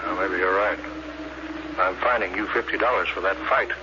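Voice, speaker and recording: A man's voice: deep voice, steady tone, moderate pace, natural voice. An actor playing a pragmatic male expedition captain, commanding yet placating, in 1950s radio drama style. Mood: firm, measured. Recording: muffled, noisy old radio recording.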